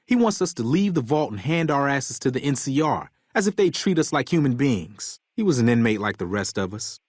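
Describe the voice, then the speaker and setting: A husky, dry-sounding American gang boss from a video game. He is a bitter convict bomber with a short temper. He talks gruffly, swears freely and gives orders like someone used to command. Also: medium pitch, very animated, brisk, dry recording. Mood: bitter, scornful. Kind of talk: video game character dialogue.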